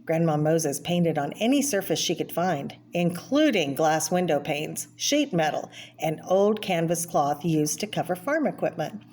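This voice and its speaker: A woman's voice: sits low, lively intonation, unhurried, hardly any pauses, a little mellow. A woman reading a children's picture book aloud, explaining the pictures and addressing the listener with small asides. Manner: explanatory, pointing out pictures and asking the listener questions. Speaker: read-aloud storyteller. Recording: clear background noise.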